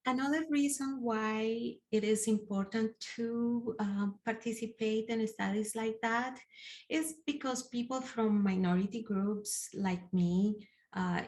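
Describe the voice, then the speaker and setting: Slow, deliberate Colombian woman presenter. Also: on the high side, a little mellow. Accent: Colombian Spanish. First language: Spanish. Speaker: engagement specialist. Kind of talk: recorded video presentation. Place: living room at home.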